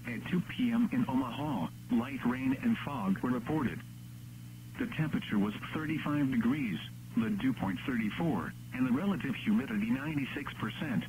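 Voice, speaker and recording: A synthetic male announcer reading scripted weather bulletins in a measured, impersonal text-to-speech delivery. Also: mid-range voice, very animated, vocal fry, darkish tone. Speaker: automated weather radio announcer. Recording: noisy radio broadcast with hum.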